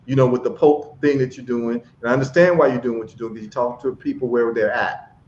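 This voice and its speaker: A man's voice: mid-range voice, fairly even intonation, fast, a little mellow. Passionate man on an echoey stream. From Ghana. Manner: passionate, emphatic, repeats phrases. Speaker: guest. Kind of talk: casual chat. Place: live stream call. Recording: echoey, dull lo-fi stream audio.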